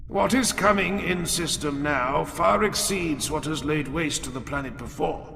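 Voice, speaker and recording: An ancient war commissar, grinds out grave, hate-filled pronouncements in a slow, creaky voice. He is grim and pious, in a dramatic audiobook style. His words ring through a big reverberant hall with music underneath. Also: on the high side. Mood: grim, foreboding. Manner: grave, foreboding declarations. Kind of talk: dramatic audiobook character acting.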